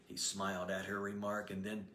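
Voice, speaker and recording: A man reading his own novel aloud as storybook narrator, expressive and lively. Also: sits low, moderate pace, slight background noise. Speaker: author reading his book. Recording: roomy echoey room.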